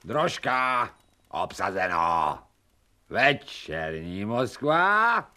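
A man's voice: on the low side, very animated, sharp tone, full character voice. An actor in a radio play, playing a crude, foul-mouthed dog turned man who spits coarse insults. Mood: muttering. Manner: rough, growling insults.

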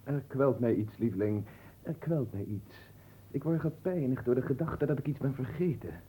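An actor playing a pompous, absent-minded marquis who muses aloud theatrically in a radio play. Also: male voice, medium pitch, moderate pace, mellow, slight background noise, close to an everyday voice, poor audio. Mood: fretful musing.

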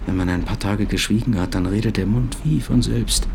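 A man's voice: on the low side, a little fast, vocal fry, a little hiss or hum. Bitter tired man on the run, formal n dry, radio play, train ambience behind him. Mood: agitated, weary. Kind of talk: German radio play dialogue.

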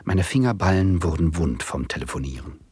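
An actor playing an anxious, greedy amateur speculator who narrates his own folly in a radio drama, husky and breathy. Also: low-pitched, animated, fairly quick, darkish tone, no room sound, full character voice. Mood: wry, rueful. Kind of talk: 1970s German radio drama, first-person narration.

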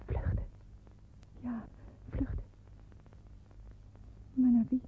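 A woman's voice: fairly high pitch, little pitch movement, drawn-out words. Young woman in hiding, tinny recording. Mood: anxious, hushed. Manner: dramatic, intimate monologue. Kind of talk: radio play acting, first-person narration. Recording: tinny, narrowband old radio recording, faint noise.

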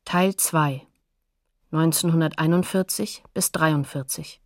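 A woman with a slightly low voice announces in a sober, scripted way. Her delivery is neutral and factual, with the close, dry sound of a radio documentary. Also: slow, dry recording.

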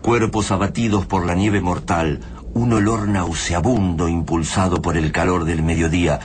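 A man's voice: sits low, steady tone, normal speed, darkish tone, slight background noise. An Argentine man narrating a scripted radio drama in a grave, literary manner.

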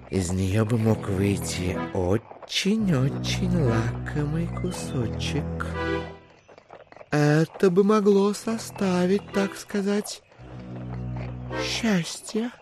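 A genteel schemer thinking out loud, slow n savoring, music going under him, radio play style. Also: male voice, high-pitched. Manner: musing, drawn-out, savoring phrases. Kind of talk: audio drama acting of a literary classic.

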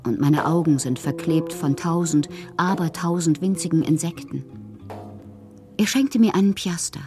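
A woman playing a moody, fanciful bohemian poet in a radio drama, reading her confessional letters aloud with expressive, theatrical, poetic phrasing. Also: low-pitched, normal speed, over music. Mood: dreamy, intimate.

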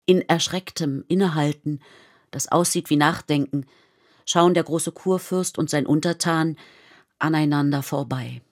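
An actress playing a composed, observant storyteller, narrating a poetic radio drama in a measured literary manner. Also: female voice, low voice. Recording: studio radio drama with music. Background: prominent music with strings.

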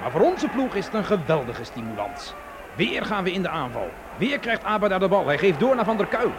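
Old radio football commentator, high voice swinging all over, dramatic, noisy recording. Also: male voice, a little fast. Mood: tense, reporting. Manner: dramatic, excitable.

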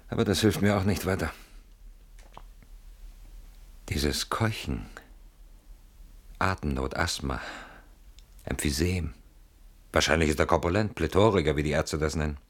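A man's voice: extremely low pitch, a little slow, pauses often. An actor playing an anxious, brooding man in a German radio play, defensive and articulate, turning things over with theatrical irony. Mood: wary, uneasy.

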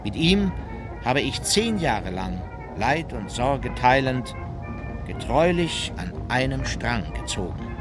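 An actor playing a composed court officer, narrating his memoirs in a measured, formal way for a radio documentary drama. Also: mid-range voice, big pitch swings, music in the background. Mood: fond, earnest.

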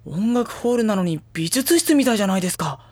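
An actress playing a polite but blunt, easily exasperated teenage schoolboy in a Japanese audio drama, with a boyish, dry delivery. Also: female voice, mid-range voice, lively intonation, fast talker, a little mellow, no room sound, natural voice. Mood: polite, puzzled.

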